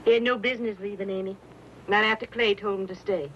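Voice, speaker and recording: An actress playing a brave, earnest pioneer wife, gentle and halting, in a western radio drama. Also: female voice, medium pitch, steady tone, close to an everyday voice. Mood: grateful, firm. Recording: muffled lo-fi radio recording, noisy background.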